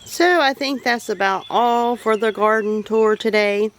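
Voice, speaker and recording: A woman's voice: on the high side, a little slow, a little hiss or hum. A woman with a Southern US accent giving a casual, chatty garden tour in a folksy way. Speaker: homesteading vlogger. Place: outdoors in a home garden. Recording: outdoor ambience with animal noises. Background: birdsong and farm animal sounds.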